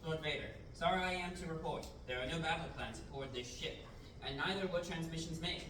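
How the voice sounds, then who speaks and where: A man's voice: fairly high pitch, brisk, lightly acted. A man reading a dutiful imperial captain. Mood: reporting. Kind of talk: amateur table reading in Shakespearean verse. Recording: echoey room from far off, background noise.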